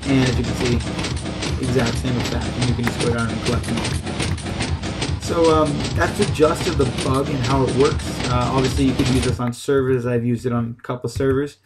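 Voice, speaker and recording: A man's voice: mid-range voice, little pitch movement, normal speed, over loud music. A man giving a casual, unscripted game tutorial, explaining things in a loose, hesitant way. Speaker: gaming tutorial host.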